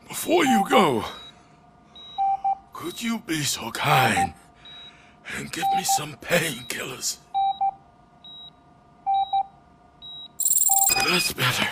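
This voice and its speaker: An actor playing a frail, dying old corporate chief in a video game, speaking in a labored, melodramatic, pleading way. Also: male voice, medium pitch, vocal fry, heavily acted. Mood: pained, pleading. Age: older. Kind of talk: dramatic video game voice acting.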